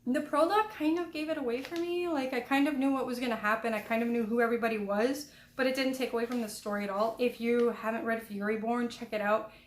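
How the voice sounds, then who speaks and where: A woman's voice: fairly high pitch, fairly even intonation, fast, few pauses, slight background noise. Chatty young woman with a small voice. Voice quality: small, soft voice. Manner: chatty, rambling, self-deprecating. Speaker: book vlogger. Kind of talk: casual book review chat. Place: home room. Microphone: headphone mic. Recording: echoey room, cheap mic.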